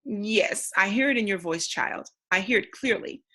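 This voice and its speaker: A woman voicing a wise, wary elderly man in a storybook, speaking in a measured, grave, musing way. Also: medium pitch, animated, sharp tone.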